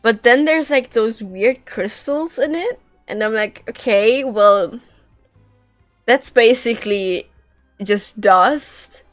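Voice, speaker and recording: A woman live-streaming a game with casual, informal, expressive commentary, close on the mic. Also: fairly high pitch, slow, no echo. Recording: dry, game music behind.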